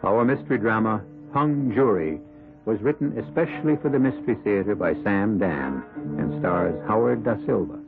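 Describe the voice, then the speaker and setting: A man hosting a radio mystery drama, narrating in a measured, theatrical way with deliberate pauses. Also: lowish voice, animated, vocal fry. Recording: tinny vintage radio broadcast, music behind. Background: dramatic music.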